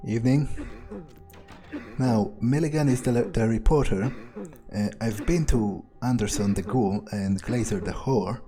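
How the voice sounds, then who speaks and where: A man improvising in-character video game commentary, thinking aloud in a deadpan, callous way with pauses. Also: lowish voice, soft background music. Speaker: gameplay player staying in character.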